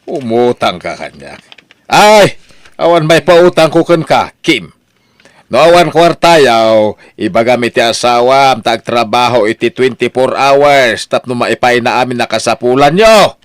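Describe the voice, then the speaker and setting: A man's voice: medium pitch, expressive, a little slow, dry recording, clean studio sound, natural voice. A man playing a stern, tight-fisted father in an Ilocano audio drama. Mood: stern, scolding.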